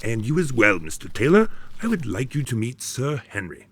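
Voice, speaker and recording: Male gentleman doctor actor for radio drama: courteous, concerned, formal, expressive, dry sound. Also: medium pitch, moderate pace, slightly rough, dry recording. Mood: formal, probing.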